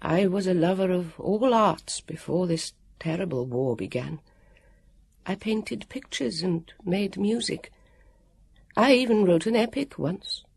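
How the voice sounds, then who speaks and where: A woman voicing a nervous, gentle male warrior in a storybook, with a high, quavering voice and wordy, hesitant politeness. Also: animated, a little slow, gravelly, husky, heavily acted. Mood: gentle, mournful.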